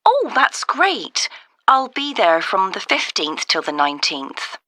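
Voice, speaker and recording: A friendly caller asking about a holiday outing in a scripted dialogue. Her voice is bright and very animated, polite and keen. The recording is dry and close. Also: mid-range voice, slow pace, dry recording, exaggerated voice. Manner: polite, enthusiastic. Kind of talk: scripted listening-test phone dialogue.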